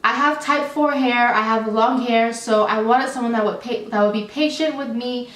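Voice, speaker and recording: A young adult woman telling a storytime vlog in a chatty, animated, rambling way with many fillers. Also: fairly high pitch, moderate pace. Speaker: vlogger. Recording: roomy, slightly distant mic.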